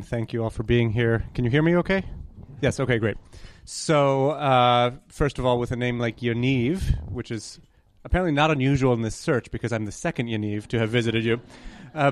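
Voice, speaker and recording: A young man from New York telling his story in a chatty interview, often correcting himself and adding apologetic asides. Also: mid-range voice. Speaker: guest conductor candidate.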